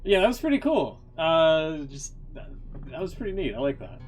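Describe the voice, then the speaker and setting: A man's voice: fairly high pitch, big pitch swings, normal speed, faint music behind. A man giving casual live stream commentary as he reacts, informal and rambling with some mild swearing. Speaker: game streamer reacting to cutscenes.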